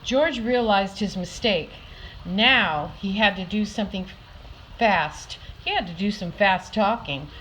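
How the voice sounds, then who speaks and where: An older woman reading a children's picture book aloud with deliberate, dramatic emphasis for young listeners. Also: mid-range voice, fairly bright tone, slight background noise, low-quality recording. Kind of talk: expressive children's story read-aloud.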